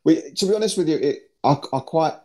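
A man's voice: fairly high pitch, expressive, fast talker, darkish tone. A man, a former player, chatting casually and rambling with many fillers as he remembers playing. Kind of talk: casual chat.

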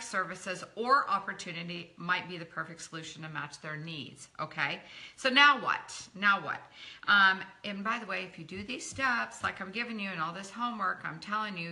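A middle-aged woman running a live training session as a network marketing coach, talking in an encouraging, chatty way and greeting viewers by name. Her voice is a little croaky. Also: on the low side, bright, forceful tone. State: slightly croaky from talking a lot.

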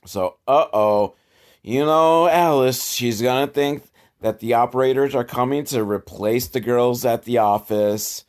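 A man's voice: medium pitch, measured pace, darkish tone. A man hosting a casual comedic commentary on old films, with a sarcastic, profane way of talking. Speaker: host reviewing old educational films.

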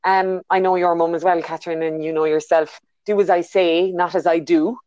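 A woman's voice: fairly low pitch, fairly even intonation, moderate pace, darkish tone, clean tone, no room sound, lo-fi sound. An Irish woman in her thirties, a consultant being interviewed from her bedroom over a call, thoughtful and full of fillers and restarts. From Ireland. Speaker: guest, business consultant. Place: bedroom at home.

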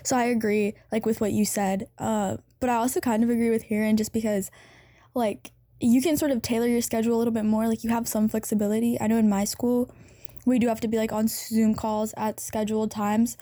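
A teenage girl hosts a podcast, talking in a friendly, reflective way. Her voice sits slightly high and soft. The recording is close and dry. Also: flat delivery, brisk, dry recording. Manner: friendly, thoughtful, hedging with "like". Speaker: podcast host. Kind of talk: casual chat.

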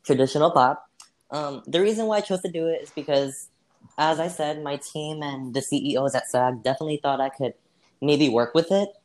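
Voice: medium pitch, brisk. A person answering as a guest in a casual role-played interview, hesitant and leaning on fillers, brushing off slips. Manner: hesitant, many fillers, laughs off mistakes. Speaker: interview guest playing a singer.

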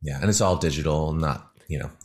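A man, a musician calling in as a guest, talking in a relaxed, rambling way with many fillers over a call. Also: very deep voice, a little fast. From United States. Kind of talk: casual interview over a call. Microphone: phone or video call.

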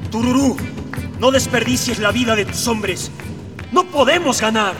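A high-voiced, desperate rebel prince, rings out in a reverberant space. He declaims frantically in melodramatic radio style over music. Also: normal speed. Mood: despairing. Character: hot-headed rebel prince, desperate, self-sacrificing, melodramatic. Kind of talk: comic radio drama acting. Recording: reverberant hall, distant from mic, music.

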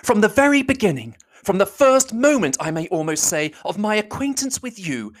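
A reader voicing an indignant, proud young woman who accuses in heated, rapid declamation. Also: mid-range voice, very animated, voice pushed up, close to an everyday voice. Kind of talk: audiobook reading.

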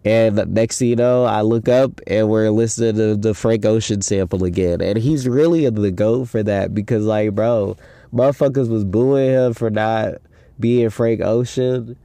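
A man's voice: lowish voice, unhurried, gravelly, mellow, no echo. A young man hosting a casual solo podcast, talking in a loose, rambling, slangy way with a stuffy nose from a head cold. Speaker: podcast host.

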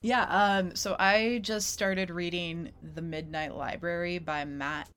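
A woman's voice: lowish voice, steady tone, takes it slowly, a bit bright, clean tone. A woman co-hosting a podcast in casual conversation, chatty and giggly in an informal way. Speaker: podcast co-host. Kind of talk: casual chat.

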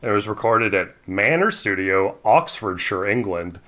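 A man's voice: fairly low pitch, expressive, normal speed. Laid-back guy cohosting a music podcast from his room, voice goes up and down a lot, kinda rambly. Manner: laid-back, rambling, swears casually, trails off quietly. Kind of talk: casual music chat. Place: home room.

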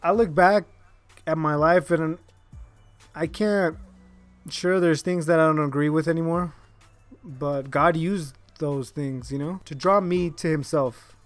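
A man hosting a podcast in casual chat, relaxed and joking, laughing often. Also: on the high side, a little slow, no room sound, soft background music. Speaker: podcast host.

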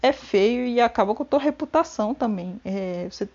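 A woman's voice: fairly high pitch, expressive, normal speed, darkish tone, muffled recording. A Brazilian woman with a Brazilian Portuguese accent, chatting casually about a book, rambling with many restarts and frequent laughs. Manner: gossipy, laughs often. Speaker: book vlogger. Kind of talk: casual chat. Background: rain and thunder.

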